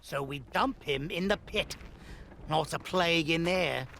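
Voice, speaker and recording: A callous gang boss in a horror audio drama, with a high, very animated voice full of creak. He is impatient, scheming and domineering with his underling, over clear background noise. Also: measured pace, heavily acted. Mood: exasperated. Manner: scornful, domineering.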